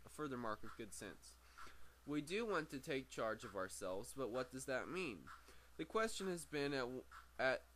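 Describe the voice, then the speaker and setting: Male amateur narrator, mid-pitched, book reading with frequent stumbles and repeats, noticeable background noise. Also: fairly bright tone. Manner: stumbles over words and repeats phrases. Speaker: amateur audiobook reader.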